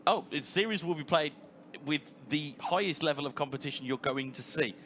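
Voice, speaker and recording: A man calling live esports football play by play, rapid and rising to shouts at big moments. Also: on the high side, slight background noise. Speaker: play-by-play commentator.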